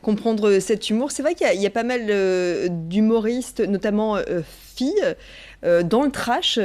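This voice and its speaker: A woman's voice: mid-range voice, expressive, normal speed, darkish tone. A woman hosting a show, interviewing a guest in a conversational studio style.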